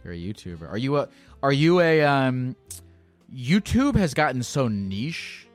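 A man's voice: medium pitch, sing-song, a little slow, faint music behind. A man hosting a podcast and chatting with a caller, blunt and teasing, swearing freely and hesitating with many fillers. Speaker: podcast host. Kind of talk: casual chat with a caller.

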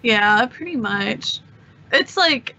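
A woman with a bright, fairly high voice chats casually as a podcast guest, rambling loosely with plenty of vocal fry. There is clear background noise on her recording. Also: expressive, takes it slowly, dull audio. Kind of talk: casual chat.

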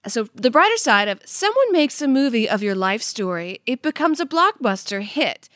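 A woman with a fairly high voice trades casual comedy banter as a podcast co-host. She sounds close and dry, with no room echo. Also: big pitch swings, normal speed, a bit bright.

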